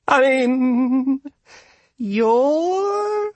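Man putting on a high-pitched, panicky rabbit girl. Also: animated, extremely slow, no echo, full character voice. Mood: nervous. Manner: panicky, pleading, timid. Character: terrified, wailing female giant rabbit, meek and polite.

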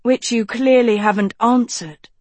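A woman voicing a sharp-minded, insistent young woman in a fantasy audiobook, questioning and challenging. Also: fairly high pitch, fairly even intonation, measured pace, dry recording, voice pushed up. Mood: pressing.

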